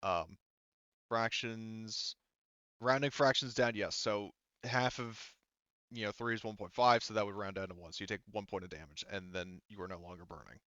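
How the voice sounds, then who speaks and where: Man, low pitch, clean smooth tone, casual game master, thinking aloud, dry slightly muffled recording. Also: fairly even intonation, normal speed, a bit bright, no echo. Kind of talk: casual rules explanation at a tabletop game.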